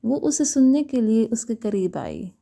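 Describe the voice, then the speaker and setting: Mellow, slow-paced woman narrating a love story. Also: a little high, sing-song, creaky.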